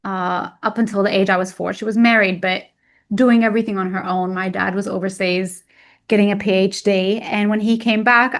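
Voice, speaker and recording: Woman, arabic first language, open reflective guest talking about her past, mid pitch. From Egypt. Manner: open and reflective, tells painful memories plainly. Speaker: guest, weight loss coach. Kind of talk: candid personal storytelling.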